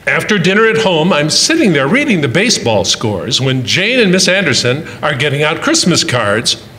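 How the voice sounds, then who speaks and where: Actor as wry, high-voiced radio comedy husband. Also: male voice, very animated, moderate pace, sharp tone, big-room sound. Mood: wry, exasperated. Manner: wry, punning storyteller. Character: wry, exasperated but doting husband narrating domestic troubles. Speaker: actor and narrator in a radio play. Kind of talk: old-time radio comedy acting.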